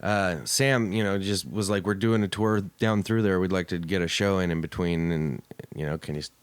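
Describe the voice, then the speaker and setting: A man with a fairly low voice hosts a loose, casual podcast. He jokes and swears freely as he talks. The sound is dry, with very little room on it. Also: fairly even intonation, moderate pace, clear, resonant tone, no echo. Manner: crude, profane, joking. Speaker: podcast host.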